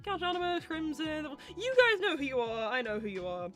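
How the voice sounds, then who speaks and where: A woman's voice: high voice, sing-song, a little fast, fairly bright tone, soft background music. A woman hosting a live roleplay stream, chatting casually and candidly as she answers questions in rambling explanations. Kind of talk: casual livestream Q&A chat.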